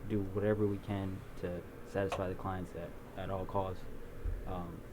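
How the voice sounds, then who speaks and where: A young man being interviewed about his video production company, talking in a relaxed, rambling way with lots of ums. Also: sits low, moderate pace. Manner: relaxed storyteller, many ums and restarts. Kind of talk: casual interview. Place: small recording studio. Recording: noisy background.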